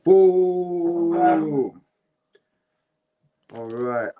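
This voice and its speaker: A man's voice: on the high side, drawn-out words. A man hosting a live-streamed interview, chatting casually and often tagging his questions with slang. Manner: relaxed, uses slang tags.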